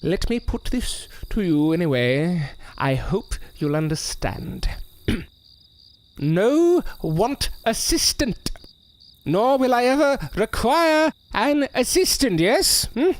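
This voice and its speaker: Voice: medium pitch, big pitch swings, takes it slowly. A performer playing a bitter, boozy, self-important washed-up ventriloquist in an audio drama, theatrical and condescending. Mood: wary, patronising.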